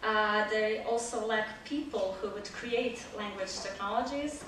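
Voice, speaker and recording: A woman from Croatia, a native Croatian speaker, presents her research at a conference, pausing on "uh" between phrases. She is picked up from far off in a large hall full of echo, with faint room noise underneath. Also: mid-range voice. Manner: measured, with frequent "uh" fillers. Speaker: conference speaker, PhD student. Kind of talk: academic conference talk. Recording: echoey hall audio from far off.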